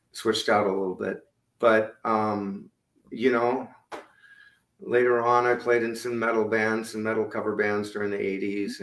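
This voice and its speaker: A middle-aged man with a low voice, chatting loosely about his music over a video call, with many fillers and restarts. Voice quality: lower-range voice. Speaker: musician guest. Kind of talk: casual interview chat. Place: home room indoors. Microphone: video call webcam. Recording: echoey room on webcam.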